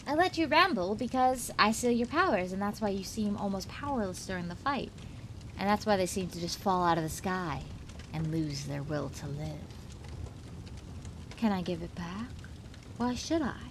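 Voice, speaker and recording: A woman's voice: mid-range voice, a little hiss or hum, lightly acted. A woman playing an unhinged, gleeful female supervillain in an ASMR roleplay, teasing a captive in a theatrical, giggly sing-song way.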